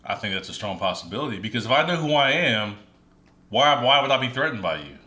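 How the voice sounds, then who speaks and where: Bright-voiced man in casual chat. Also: medium pitch, animated. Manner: thoughtful, halting, many fillers. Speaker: podcast co-host. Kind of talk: casual conversation.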